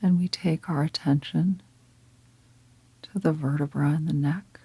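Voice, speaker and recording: A woman leading a guided meditation in a calm, gentle, breathy half-whisper, unhurried and with long pauses. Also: low voice, dry recording. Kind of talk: guided meditation, slow soft-spoken instruction.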